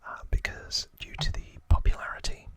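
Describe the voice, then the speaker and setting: A man hosting ASMR, whispering informal trivia narration in a hushed, rambling way with casual asides. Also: normal speed. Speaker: ASMR host.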